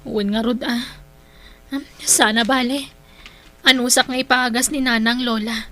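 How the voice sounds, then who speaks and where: An actress with an Ilocano accent playing a hardworking, determined young daughter in a radio drama, earnest and emotive. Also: female voice, fairly high pitch, steady tone, moderate pace, fairly bright tone, lightly acted. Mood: worried, dutiful. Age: young adult.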